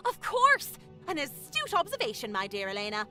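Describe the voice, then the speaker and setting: Excitable, very high-pitched theatrical sleuth. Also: female voice, moderate pace, faint music behind, full character voice. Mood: delighted. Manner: dramatic, exclamatory, flowery phrasing. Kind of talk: animated cartoon voice acting.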